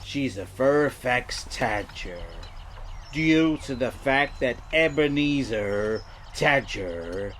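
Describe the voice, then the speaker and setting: A man's voice: mid-range voice, lively intonation, fairly bright tone, a little hiss or hum. A man playing a wordy, pedantic and self-important newspaper publisher in a comedy audio drama. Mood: pedantic, musing. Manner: pedantic, florid, deliberate. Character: pompous, wordy small-town newspaper publisher.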